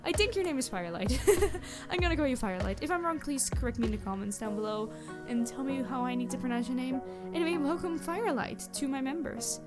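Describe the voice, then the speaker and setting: Casting: female online creator, higher-pitched, chirpy and animated, casual host chat to followers. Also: fast, hardly any pauses, music in the background. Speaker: ASMR roleplay creator and host. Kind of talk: casual chat to channel audience.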